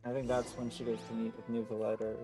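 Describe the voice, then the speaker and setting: A male game streamer talking casually and reacting live to a story. His voice is mid-pitched, with music clearly playing behind him. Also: monotone, fast.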